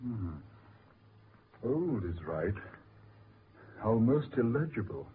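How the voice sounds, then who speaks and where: Formal gentleman, tinny old radio. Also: medium pitch, sing-song, takes it slowly. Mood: grave, measured. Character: brisk, skeptical gentleman in a gloomy mystery. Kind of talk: 1940s radio drama acting. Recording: noisy, tinny 1940s radio recording.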